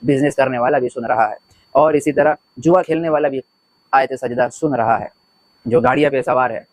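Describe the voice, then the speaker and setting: A man's voice: mid-range voice, steady tone, moderate pace, dry recording, low-quality recording. A man giving religious commentary in an earnest, preachy way, building points through repeated lists. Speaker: religious commentator, video host. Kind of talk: spoken religious commentary to camera.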